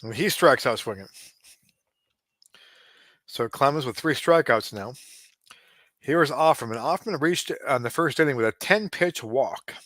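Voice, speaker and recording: Man, late forties, casual baseball play-by-play, chatty asides, mid-pitched, dry close mic. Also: unhurried, long pauses, no room sound. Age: 40s. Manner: relaxed, chatty, given to asides and small restarts. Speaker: game streamer narrating a simulated baseball game.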